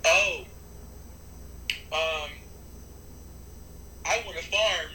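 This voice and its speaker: A man in an interview with a bright, forceful voice that sits a little high. He talks in long, animated runs, restarting phrases and swearing for emphasis. The room is echoey, with a faint hiss behind him. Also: drawn-out words. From United States. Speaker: rapper and artist, interview guest. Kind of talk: rambling interview answers.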